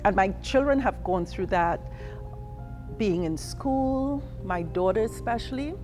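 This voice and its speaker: A middle-aged woman from Trinidad and Tobago speaking candidly about her life as an immigrant, mimicking the people she quotes in lively asides. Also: medium pitch, measured pace, soft background music. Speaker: interviewee. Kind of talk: personal testimony.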